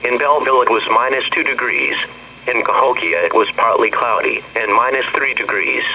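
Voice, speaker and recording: A man's voice: mid-range voice, pushed and bright. A synthetic male voice with an American accent reads a scripted weather forecast as a radio announcer, in formal, clipped phrases. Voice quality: synthetic text-to-speech voice. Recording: dull, noisy weather radio broadcast.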